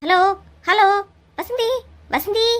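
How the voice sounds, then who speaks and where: A very high-pitched village woman from a comic cartoon, voiced by a woman. She bickers and grumbles in Kanyakumari Tamil slang, full of exclamations and complaints. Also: slow, fairly bright tone, heavily acted. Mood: calling out.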